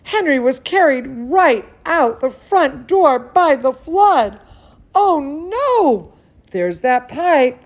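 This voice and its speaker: A woman telling bedtime stories and chatting cozily to young children in an expressive, soothing, singsong manner. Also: high voice, takes it slowly. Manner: chats playfully to a pet or companion. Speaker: dance studio teacher reading bedtime stories to children. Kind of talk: children's bedtime storytelling and cozy chat.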